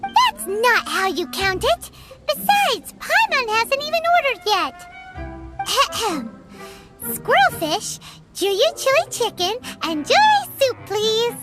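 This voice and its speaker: Little sprite companion, squeaky high, unhurried, bouncy intonation. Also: female voice, very animated, heavily acted. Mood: demanding. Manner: bubbly, speaks of herself in third person. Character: tiny excitable floating female companion sprite. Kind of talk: video game voice acting. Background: game background music.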